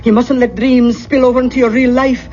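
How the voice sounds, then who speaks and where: An actress playing a kindly, anxious middle-aged spirit medium aunt with a Scottish accent in an old-time radio drama. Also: female voice, a little high, flat delivery, fairly quick, warm tone, close to an everyday voice. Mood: uneasy, soothing. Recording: muffled old radio, music underneath.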